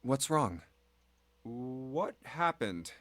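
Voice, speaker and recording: A man's voice: mid-range voice, sing-song, very slow, a bit bright, no echo, exaggerated voice. An actor playing an earnest, concerned young man who leads his companions in a dramatic visual novel game. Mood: alarmed.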